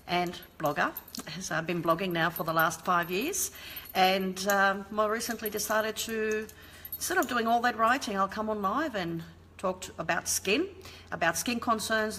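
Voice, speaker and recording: A woman's voice: medium pitch, sharp tone, slight background noise. A woman in her forties, a beauty therapist, chatting through a live skincare lesson from home on her webcam, explaining with many ums and restarts. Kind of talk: live-stream skincare talk. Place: home room with lamp and shelf. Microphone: webcam at desk.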